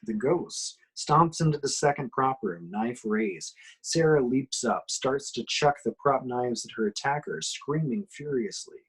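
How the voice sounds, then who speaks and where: Husky man narrating a screenplay. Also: mid-range voice, unhurried, hardly any pauses, poor audio. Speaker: action-description reader. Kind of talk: scripted narration of a screenplay, amateur table read.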